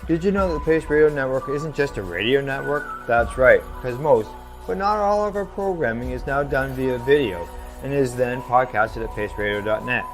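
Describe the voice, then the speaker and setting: A man's voice: medium pitch, normal speed, hardly any pauses, poor audio. Male announcer for station promos, emphatic and upbeat, light music bed. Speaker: network announcer. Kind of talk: scripted promo read.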